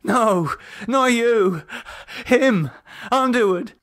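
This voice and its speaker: Frantic, high-pitched terrified man. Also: lively intonation, extremely slow, a bit bright, exaggerated voice. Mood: fearful, paranoid. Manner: frantic, sweary, stammering. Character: terrified, raving, blinded man trapped in a dark cellar. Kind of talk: audiobook character voice.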